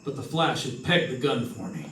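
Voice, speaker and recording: A man's voice: mid-range voice, lively intonation, moderate pace, background noise, lightly acted. A man playing a wry, hardboiled investigator, narrating and talking in clipped old-time radio detective style. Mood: wry, dramatic. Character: hardboiled, wry male insurance investigator narrating his case. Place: live stage performance. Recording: echoey live stage, distant mic, music behind. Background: music.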